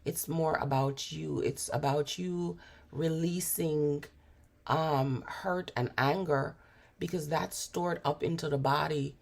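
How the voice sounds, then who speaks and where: Low, gravelly hoarse woman. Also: steady tone, a little mellow. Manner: unhurried, musing, frequent fillers. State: hoarse with a cold. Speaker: tarot reader. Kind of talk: spiritual tarot reading to camera.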